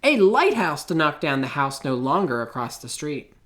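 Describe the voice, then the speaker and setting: Expressive man reading with lively, swooping pitch. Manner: expressive, theatrical storytelling with deliberate pauses. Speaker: picture book reader. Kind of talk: children's storybook read-aloud.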